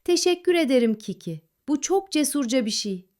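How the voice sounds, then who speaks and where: A woman voicing a delicate, gentle little rabbit in a children's storybook tale. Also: sits high, big pitch swings, normal speed, voice pushed up. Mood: touched, grateful.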